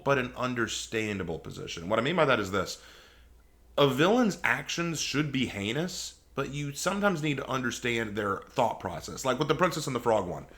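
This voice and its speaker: A man's voice: medium pitch, fairly bright tone. A man hosting a reaction video with casual, rambling and opinionated commentary.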